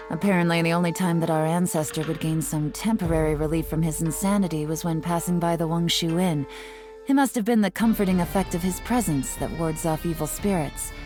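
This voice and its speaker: Game spymaster: low female voice, composed and shrewd, sly teasing edge, measured delivery over prominent music actress in character. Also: a little mellow, natural voice. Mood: respectful, resolute. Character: cool, shrewd, teasing female intelligence agent. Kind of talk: scripted video game character monologue.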